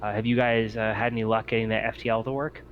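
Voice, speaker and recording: A man voicing a very calm, hesitant Australian male synthetic aboard a stranded spaceship in a tabletop game. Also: fairly low pitch, quick pace, highs cut off, slight background noise, natural voice. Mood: calm, courteous.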